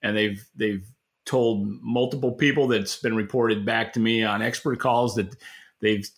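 A man co-hosting a podcast, giving opinionated market commentary in an informal, halting way with repeated words. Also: on the low side, fairly even intonation. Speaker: podcast co-host and industry analyst.

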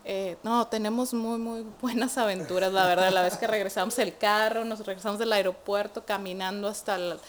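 Young Mexican woman, lively guest storyteller, faint background noise. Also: medium pitch, fairly quick, few pauses. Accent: Mexican Spanish. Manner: animated storytelling with many fillers. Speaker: guest, immigrant to Canada. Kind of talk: casual interview chat.

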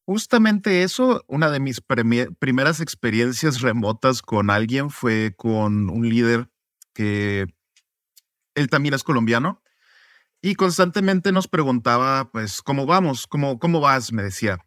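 A man from Mexico, a podcast guest, answering reflectively in a relaxed interview and often ending points with tag questions. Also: mid-range voice, measured pace, no room sound, clean studio sound. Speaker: podcast guest, student advocate.

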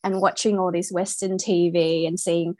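A woman's voice: fairly low pitch, level tone, normal speed, a little mellow, no echo. Melbourne-raised Australian woman, dry close sound. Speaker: guest. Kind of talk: casual interview.

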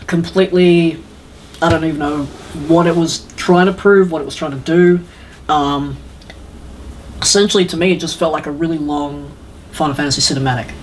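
Casual podcast host over clear background noise. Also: medium pitch, fairly even intonation, moderate pace. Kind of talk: casual chat, film opinions. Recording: noisy room with background ambience.

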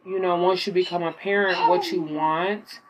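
A young woman with a slightly hoarse voice after an illness, rambling casually in a late-night monologue at home, full of fillers. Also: fairly low pitch, fairly even intonation, moderate pace, slight background noise, low-quality recording. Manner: rambling, filler-heavy, talks while gaming. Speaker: podcaster.

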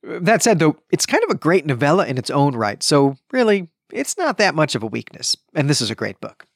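Male podcast host, animated pitch swings, mid-range voice, dry close sound, emphatic delivery. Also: fairly quick, vocal fry, no echo. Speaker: podcast host and book reviewer. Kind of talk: podcast book review, reading passages and commenting.

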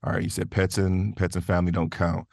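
A man's voice: low-pitched, little pitch movement, fast talker, vocal fry, a little mellow, no room sound, clean studio sound. A man chatting casually as a podcast guest, easygoing and thinking aloud as he goes. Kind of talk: casual chat.

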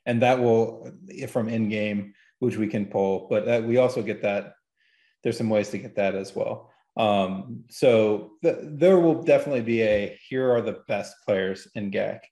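A man talking casually as a podcast guest about statistics, often halting and correcting himself as he goes. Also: on the low side, warm tone. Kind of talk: casual discussion of game statistics. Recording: roomy, echoey sound.

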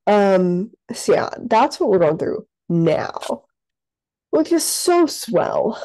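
A young woman co-hosting a podcast while sick, her voice congested and stuffed up. Her tone is mellow and soft-edged, recorded close in a dry room. She rambles in a casual chatty way, leaning on "like" constantly. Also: medium pitch, big pitch swings, takes it slowly, dry recording, rough recording. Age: young adult. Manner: chatty, lots of "like" fillers. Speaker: podcast co-host. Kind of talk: casual chat.

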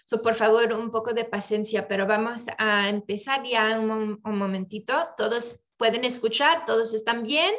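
A woman's voice: a little high, normal speed, few pauses, fairly bright tone. A woman attorney giving an online seminar, switching back and forth between English and Spanish with frequent ums. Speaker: immigration attorney and presenter. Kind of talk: bilingual online seminar presentation. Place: home video call. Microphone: video call microphone.

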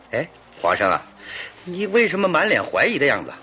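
A man's voice: a little high, wildly swooping pitch, moderate pace, bright, forceful tone, a little hiss or hum, full character voice. An actor playing a confident, self-satisfied detective in a radio drama, theatrical and emphatic as he expounds his theory. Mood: smug, expounding.

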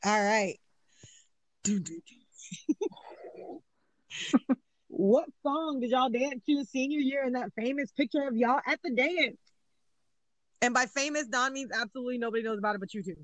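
A woman's voice: fairly high pitch, normal speed, long pauses, no echo, rough recording. A woman co-hosting a casual podcast, asking quiz questions in a teasing, giggly way. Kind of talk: casual chat.

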